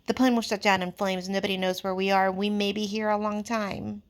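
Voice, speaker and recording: A woman's voice: medium pitch, little pitch movement, brisk, lightly acted. A woman voicing an earnest, fair-minded schoolboy leader in a storybook, with emphatic speech-making. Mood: grave. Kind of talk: storybook character voice.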